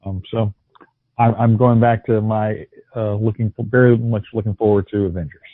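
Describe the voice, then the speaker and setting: A man's voice: on the low side, steady tone, normal speed, no echo. A man co-hosting a casual movie podcast, starting over often and joking wryly. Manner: stammering restarts, wry jokes. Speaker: podcast co-host. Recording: tinny phone-line audio.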